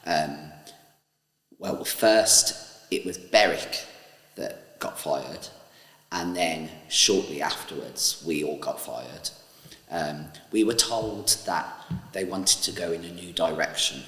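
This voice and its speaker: A very deep-voiced man talks slowly and hesitantly, stopping often to gather his thoughts. The room around him is reverberant, with a long echo tail. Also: fairly bright tone. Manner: measured, hesitant with many ums. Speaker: former panto cast member. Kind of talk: reflective interview.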